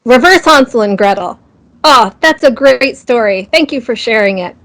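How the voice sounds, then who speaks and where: A woman's voice: a little high, expressive, normal speed, fairly bright tone. A woman interviewer asking casual, friendly questions and responding in an encouraging, appreciative way. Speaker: interviewer, host.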